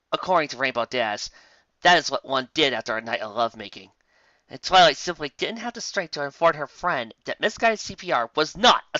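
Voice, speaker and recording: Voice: mid-range voice, bright, forceful tone, no room sound. A narrator reading scripted fan fiction in a dramatic, emphatic storytelling manner, on a slightly dull recording.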